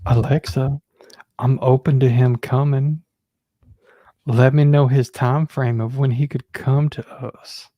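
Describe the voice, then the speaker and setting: A man's voice: mid-range voice, flat delivery, slow, dry recording, highs cut off. A man on a discussion panel, chatting casually and reading correspondence aloud. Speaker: panelist on a livestream.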